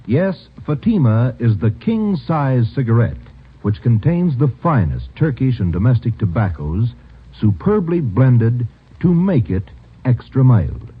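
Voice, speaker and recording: A man's voice: medium pitch, husky. An American male announcer giving an emphatic, scripted mid-century radio commercial. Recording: muffled, narrow old radio recording.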